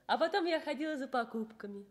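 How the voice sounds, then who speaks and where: A woman's voice: high voice, animated, fast. An actress playing a devoted, anxious young nurse caring for her sick husband in a Soviet radio drama. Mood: hurt, pleading.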